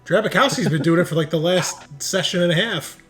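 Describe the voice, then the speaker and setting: A man's voice: sits high, fairly quick, fairly bright tone, faint music behind. A man acting as game master, casually improvising narration and talking with his players in a jokey way.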